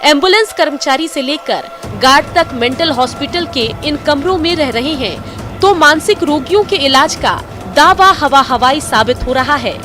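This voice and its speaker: A woman's voice: high-pitched, expressive, normal speed, over music. A woman with an Indian accent delivering a scripted television news voice-over. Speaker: news narrator.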